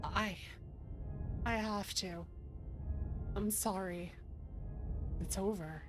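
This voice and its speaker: A woman's voice: medium pitch, extremely slow, over music. A woman streamer giving casual live game commentary and reading text and choices aloud.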